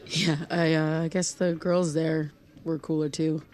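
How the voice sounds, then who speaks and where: A woman playing an awkward, guarded young loner in naturalistic audio drama, speaking in a hesitant, halting way. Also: deep voice, flat delivery, a little mellow. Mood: reflective, uneasy.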